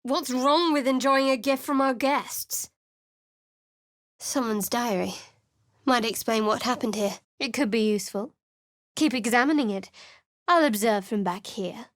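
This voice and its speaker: An actress voicing a guarded, sardonic young woman cleric of a dark goddess in a video game, speaking in a cool, dry and wary way. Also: a little high, measured pace, pauses often, dry recording, close to an everyday voice. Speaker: game companion character.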